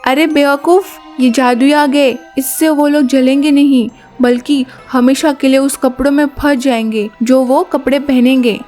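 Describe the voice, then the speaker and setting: A woman's voice: sits high, fairly even intonation, measured pace, dark tone, faint music behind. Lady doing a wicked witch for a cartoon fairy tale, boastful n scolding, pompous chanting. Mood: scornful.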